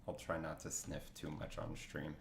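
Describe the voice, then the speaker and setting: Low male voice, sniffly from a cold, loose rambling livestream talk, roomy faint-noise recording. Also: monotone, moderate pace, gravelly. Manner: rambling, many fillers and restarts. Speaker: artist streaming a drawing session. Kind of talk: casual livestream chat while drawing.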